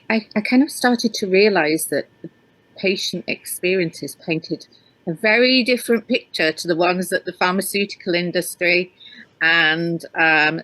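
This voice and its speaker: A woman's voice: fairly low pitch, fairly bright tone, no room sound, poor audio. A woman with a hybrid northern English accent, raised in Yorkshire, speaking as an interview guest and author, hesitant with many ums and restarts. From Yorkshire, England. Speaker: author and researcher. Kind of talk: interview guest answering questions.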